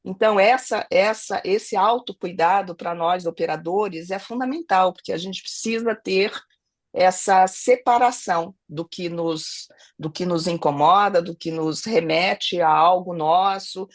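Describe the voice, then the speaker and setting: A middle-aged woman with a Brazilian Portuguese accent, hoarse from a cold, speaking earnestly as a family lawyer and mediator on an online webinar, often restarting phrases and adding tag questions. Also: fairly low pitch, measured pace, dry recording. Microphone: video call.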